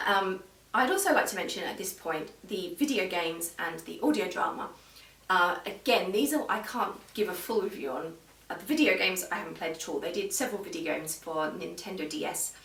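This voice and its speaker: A woman with a slightly low voice talks viewers through a review in an informal, explanatory way. She speaks in a measured fashion. The room adds a clear echo, and she sounds set back from the microphone. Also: a bit bright. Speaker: video reviewer. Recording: roomy echo, distant microphone.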